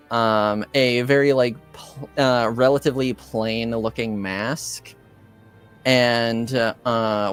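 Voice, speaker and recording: A man running a tabletop roleplaying game as game master, narrating in a casual, halting way. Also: medium pitch, smooth. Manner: halting, hesitant, casual delivery. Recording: music behind the voice. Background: music.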